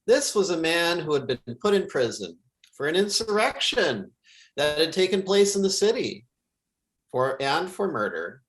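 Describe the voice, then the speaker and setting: Animated scripture reader with swooping pitch. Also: measured pace, low-quality recording. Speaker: pastor reading scripture. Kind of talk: dramatic scripture reading for worship.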